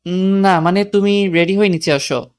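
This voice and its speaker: A woman voicing a composed, bossy young husband, giving calm instructions in a romantic audio story. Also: mid-range voice, moderate pace, natural voice. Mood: firm. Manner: calm, directive.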